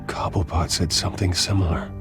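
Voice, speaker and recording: An actor playing a grim, determined wealthy crime-fighter in a video game, terse and serious. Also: male voice, deep voice, level tone, brisk, close to an everyday voice. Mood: grave, probing. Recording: loud music under the voice.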